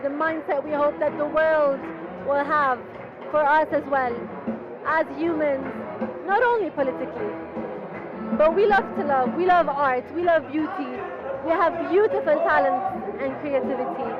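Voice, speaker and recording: A woman's voice: high-pitched, normal speed. A woman exhibitor at a festival, speaking earnestly and from the heart in an interview. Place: festival grounds. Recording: lo-fi recording over loud music and crowd.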